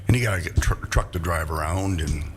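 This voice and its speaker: A man's voice: low voice, fairly quick, noisy background. A man, an auctioneer, chatting on a radio show in an easygoing, hesitant way with many fillers. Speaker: auctioneer guest. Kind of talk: casual interview chat. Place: radio studio.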